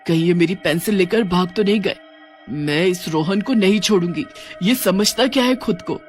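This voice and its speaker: A man's voice: sits high, normal speed, soft background music, natural voice. A man voicing a menacing, short-tempered female witch in a dramatic horror storybook, demanding and threatening. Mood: frantic, suspicious.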